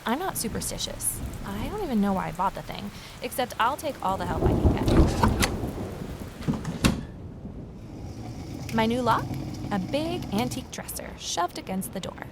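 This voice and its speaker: A woman's voice: on the high side, very animated, a little fast, creaky, background noise. A woman playing a wry, uneasy young journalist who narrates a mystery audio drama in a confiding way. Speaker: lead character and narrator.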